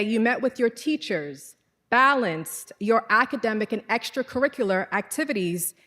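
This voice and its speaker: Trinidadian woman in her forties, somewhat high voice, speaking in a measured, exhorting way in a long-echo hall. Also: fairly bright tone. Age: 40s. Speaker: commencement speaker, teacher. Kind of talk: formal commencement speech. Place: large hall.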